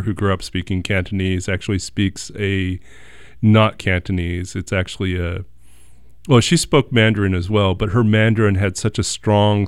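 A man hosting an interview, talking in a relaxed, reflective way with some stammering restarts. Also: on the low side, clear, resonant tone, no room sound, clean studio sound. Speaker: host. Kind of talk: casual interview chat.

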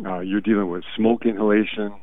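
A man with a very low, gravelly voice, hoarse and congested, calling in as a guest. He speaks haltingly and often drops to a near murmur. The line is dry and thin, like a telephone. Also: flat delivery, no echo. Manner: slow and halting, often trailing off quietly. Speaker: guest expert on call-in. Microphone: phone call. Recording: tinny phone line, dry.

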